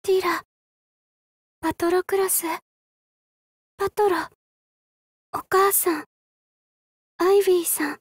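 A woman's voice: very high-pitched, fairly even intonation, slow, long pauses, no echo, studio quality. A woman voicing a timid, apologetic young girl warrior in a fighting game, meek and pleading. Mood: meek, sad. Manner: meek, pleading, breaking into cries and battle grunts. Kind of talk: anime fighting game voice acting.

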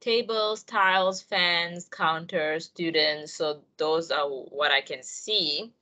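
A woman's voice: low voice, slow, sharp tone, highs cut off. A young woman with a Malaysian accent giving an encouraging online English writing lesson, speaking directly to her students.

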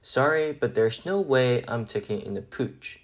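A man voicing a gruff, indignant woman butcher in a children's picture book, blunt and scolding. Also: medium pitch, animated, a little slow. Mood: indignant, dismissive.

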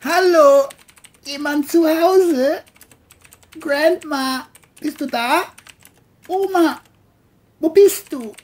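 An exasperated, scheming man from an audio crime story, pitched very high. He berates in exclamations, with slow, drawn-out delivery. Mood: calling out.

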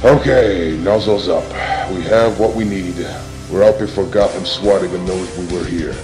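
Guy doing a snappy crook, lowish voice, gruff, roomy echo, comic book drama. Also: moderate pace, a little mellow, faint music behind, close to an everyday voice. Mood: irritated. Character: irritable, bickering male armed robber.

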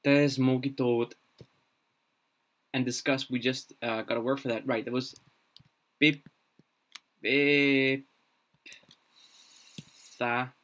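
A young man, a native Danish speaker, talks slowly and unhurriedly on a live stream, at an ordinary mid pitch. He thinks aloud, halting often with fillers and restarts. He is recorded at a desk in a small room. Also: flat delivery, fairly bright tone. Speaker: streamer building a constructed language.

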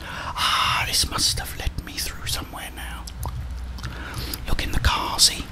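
A middle-aged man whispers ASMR gameplay commentary in a breathy voice, muttering and mildly grumbling to himself. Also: measured pace. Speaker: gamer, ASMR video host. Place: indoors, small room at home.

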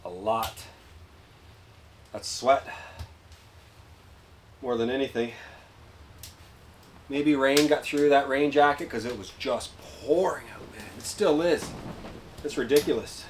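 Male outdoor vlogger, 30s, medium pitch, casual and plain-spoken, recorded in a small tent with noticeable noise. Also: slow, pauses often. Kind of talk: casual talk to camera. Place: small canvas tent with wood stove.

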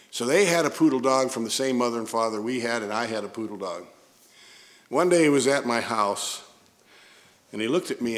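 A man's voice: mid-range voice, fairly bright tone. Older man preaching a folksy sermon. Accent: Midwestern American. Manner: folksy storytelling preacher, emphatic asides. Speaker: pastor. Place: church sanctuary, indoors. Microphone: pulpit microphone.

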